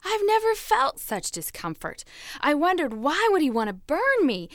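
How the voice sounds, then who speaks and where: A woman's voice: high-pitched, huge pitch swings, moderate pace, no echo, clean studio sound, pitched above the performer's own voice, exaggerated voice. A woman voicing a fragile, dramatic talking teacup that pleads breathlessly as it tells its story.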